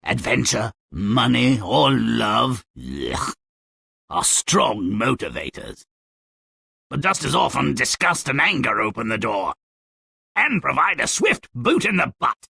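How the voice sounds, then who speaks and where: A man voicing a gleefully nasty, sardonic gnomish narrator in a fairy-tale video game, with a raspy, gravelly voice and a theatrical, drawling delivery full of relish. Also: medium pitch, big pitch swings, takes it slowly, pushed and bright, dry recording, clean studio sound, full character voice. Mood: dry disgust. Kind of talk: theatrical scripted storybook narration.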